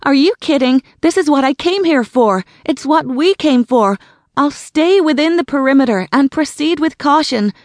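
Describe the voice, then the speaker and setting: A woman's voice: mid-range voice, normal speed, hardly any pauses, dry recording, clean studio sound, natural voice. A woman voicing a bold young female cryptid hunter barking urgent orders into a radio. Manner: urgent, bossy, shouting into a radio.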